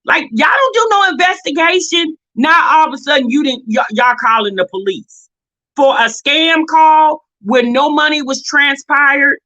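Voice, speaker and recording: A woman's voice: sits high, measured pace, sharp tone, poor audio. An American woman giving casual, opinionated commentary as a reaction video host, blunt and sassy, often exasperated and firing off rhetorical questions.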